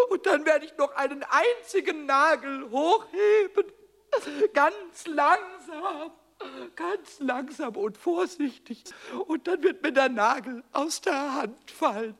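A tiny, impish kobold with a very high, squeaky, childlike voice. He stammers, whines and brags with theatrical exaggeration, in the manner of a children's radio play. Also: vocal fry, fairly bright tone, exaggerated voice. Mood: mock tragic. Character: cheeky little male kobold, childish, whiny, boastful.

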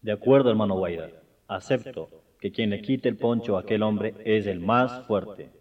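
An actor playing a proud sun god from a legend in a radio drama, with a slow, solemn, declamatory delivery. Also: male voice, on the low side, lightly acted. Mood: challenging. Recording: dull lo-fi sound under loud music. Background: loud dramatic music.

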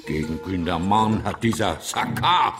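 An actor playing a gloomy, doubting stock-market pessimist in a radio play. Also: male voice, sits low, expressive, measured pace. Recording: echoing hall, crowd and music behind.

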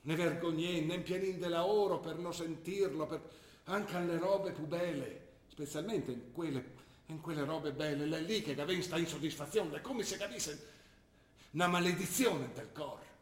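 A man's voice: a little high, normal speed, lightly acted. An older man playing an ill, worried father who lectures and pleads with his daughter, in an earnest manner. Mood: lecturing. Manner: earnest, lecturing, rising to anguished pleas. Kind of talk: stage drama acting. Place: theatre stage. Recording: roomy stage recording.

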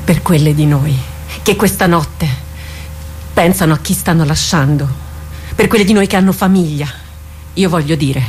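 An actress in a radio drama playing a solemn female commander of warriors, grave, authoritative and deliberate. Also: sits low, a little mellow, slight background noise, natural voice. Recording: music behind the voice.

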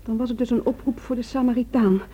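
An actress playing a worried, loving wife, tender and probing, in a radio play. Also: female voice, a little high, fairly even intonation, fast talker, dark tone, slight background noise, natural voice. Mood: anxious, pleading. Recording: dull, lo-fi old radio recording.

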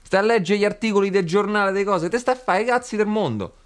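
A man's voice: high-pitched, quick pace. An actor playing a laid-back young man who preaches a selfish guru philosophy, speaking slangy Roman Italian with sudden emphatic bursts. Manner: slangy, emphatic, swings from calm to shouting. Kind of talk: scripted comedy sketch.

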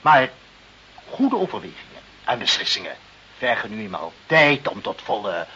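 A man's voice: fairly low pitch, sing-song, moderate pace, sharp tone, slightly rough, heavily acted. A man acting in a radio play as a pompous, peevish, muddled director of a government office, with a blustering bureaucratic manner. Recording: dull, hissy old radio play recording.

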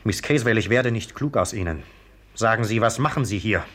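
Stern, insistent police sergeant. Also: male voice, fairly low pitch, animated, fairly quick, a bit bright. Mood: probing, brisk. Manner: commanding, clipped, insistent. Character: stern, suspicious police sergeant interrogating suspects. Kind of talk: 1950s radio drama acting.

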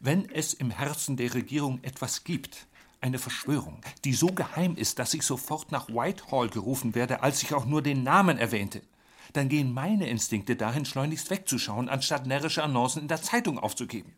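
An actor playing a proud, aloof government gentleman in a radio drama, speaking formally and precisely. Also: mid-range voice, lively intonation, moderate pace, slightly rough. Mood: indignant.

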